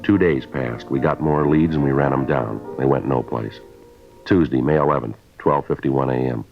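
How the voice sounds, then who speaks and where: An actor playing a terse, businesslike police detective in a 1950s radio drama, deadpan and clipped, on a dull vintage recording. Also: male voice, extremely low pitch, moderate pace, creaky, soft background music. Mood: matter-of-fact.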